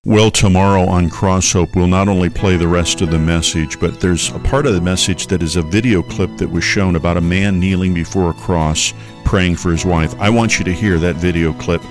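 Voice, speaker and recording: A man preaching a radio sermon, earnest, slowing down when he quotes scripture. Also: sits low, steady tone, in a large hall, music in the background. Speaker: pastor.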